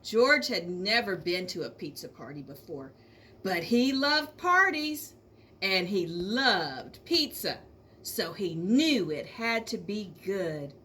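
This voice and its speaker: A woman reading a children's storybook aloud like an elementary teacher, speaking expressively to young listeners with drawn-out emphasis. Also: medium pitch, big pitch swings, pushed and bright.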